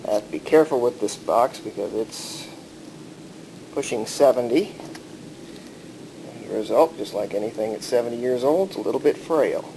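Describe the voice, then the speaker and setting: Man giving a demonstration, clearly noisy recording. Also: medium pitch, moderate pace, pauses often. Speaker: collector showing a vintage radio. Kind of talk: casual hands-on show-and-tell narration.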